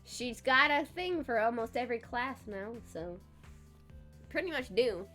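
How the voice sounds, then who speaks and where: A woman hosting a live stream in casual chat, congested and recovering her voice, chatty and quick to laugh. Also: a little high, animated, a bit bright, faint music behind. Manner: chatty, laughs often, self-deprecating. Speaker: streamer host.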